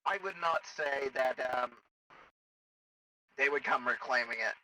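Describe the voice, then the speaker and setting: Man as a sharp-voiced, hesitant tech expert. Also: medium pitch, a little slow, far from the mic, rough recording. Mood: cautious, explaining. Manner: hesitant, explanatory. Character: worried, cautious technician analysing cybernetic implants. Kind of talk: improvised sci-fi role-play in a game.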